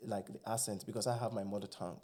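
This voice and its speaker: A man's voice: fairly low pitch, fairly even intonation, fast, a little mellow. A man with a Nigerian accent, whose first language is Igbo, talking earnestly and with animation as a guest in a casual podcast chat.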